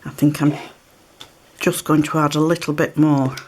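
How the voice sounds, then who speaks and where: Low-voiced woman with a cold. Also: moderate pace, breathy edge. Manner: relaxed, chatty, explains while working. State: has a cold, occasional coughs. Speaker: craft tutorial presenter. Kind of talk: step-by-step craft demonstration. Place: indoors at a craft table. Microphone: overhead camera on table, hands shown.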